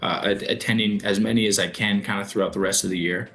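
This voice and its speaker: A man in his thirties from Alberta, Canada, speaking as a podcast guest, relaxed and plainspoken. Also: lowish voice, quick pace, faint music behind. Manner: relaxed, many fillers and restarts, plainspoken. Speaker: sales manager guest. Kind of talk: casual interview answers.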